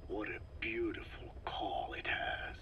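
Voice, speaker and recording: Whispering, frail scientist. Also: male voice, fairly low pitch, fairly even intonation, sharp tone, lo-fi sound. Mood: patient, scholarly. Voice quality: breathy whispered rasp. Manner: slow, formal, measured, scholarly phrasing. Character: frail, soft-spoken male scientist patiently explaining his research. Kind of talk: video game voice acting, recorded interrogation.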